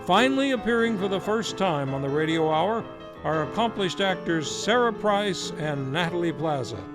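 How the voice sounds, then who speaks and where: A man's voice: high voice, animated, normal speed. A man hosting a live old-time radio show, introducing the cast in a formal announcer manner. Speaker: radio play host. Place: local community theater stage. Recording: live show with guitar music behind.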